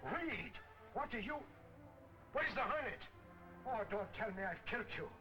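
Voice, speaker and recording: An actor playing an excitable tough man hunting a masked vigilante in a 1940s film serial, with colorful exclamatory talk. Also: extremely high pitch, measured pace. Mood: alarmed. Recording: old film soundtrack with loud music.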